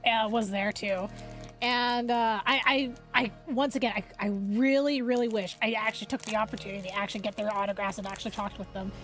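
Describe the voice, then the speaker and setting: A young woman with a high voice talks to her livestream chat in a loose, rambling way, full of fillers and restarts. She sounds a little sniffly from a cold. Faint music plays quietly under her desk-mic voice. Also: fairly even intonation, fairly quick. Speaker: VTuber streamer.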